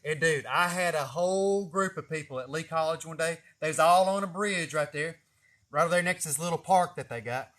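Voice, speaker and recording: A man with a fairly high voice and a Southern drawl from East Tennessee talks casually to a live audience online. He rambles from one story to the next in a folksy, salty, cussing way. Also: brisk, a bit bright. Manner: folksy, profane, chuckling storyteller. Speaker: snake catcher hosting a live video. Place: greenhouse garden.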